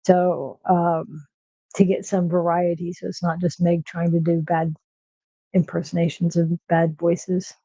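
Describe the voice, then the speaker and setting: A woman with a soft nasal voice chatting casually on a livestream from her kitchen, with lots of fillers and restarts. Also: lowish voice, flat delivery, unhurried, slightly rough, no echo, poor audio. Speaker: streamer host. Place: home kitchen.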